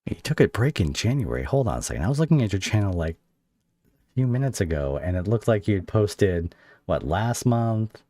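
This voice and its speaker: A man hosting a casual, joking interview, talking in a halting, rambling and playful way into a close microphone. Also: on the low side, very animated, mellow, no room sound. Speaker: podcast host and interviewer; voice actor. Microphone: close microphone on an online call.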